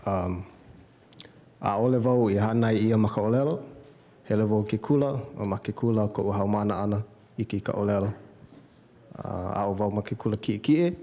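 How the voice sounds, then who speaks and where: A man answering on a conference panel into a handheld mic, quiet and even. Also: fairly low pitch. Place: large conference hall. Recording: muffled conference PA sound.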